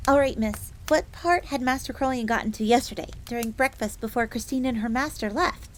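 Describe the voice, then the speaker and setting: A woman's voice: on the high side, a little fast, lightly acted. A woman playing an earnest, devoted young fox maid in a scripted fantasy roleplay audio drama, bookish and speaking of herself in the third person. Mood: eager storytelling. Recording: steady ambient noise, crackling fire.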